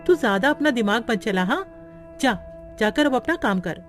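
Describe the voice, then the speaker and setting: A woman's voice: a little high, lively intonation, moderate pace, over music, lightly acted. A woman voicing a caring, soothing and respectful young wife in a cartoon story. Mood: gently scolding. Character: caring, reassuring young wife of a poor man.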